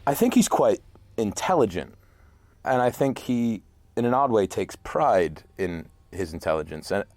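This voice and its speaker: A man from New York, an actor giving a reflective interview in a halting, thoughtful way. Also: deep voice, a little mellow, dry recording. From New York, United States. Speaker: actor interviewed about his part.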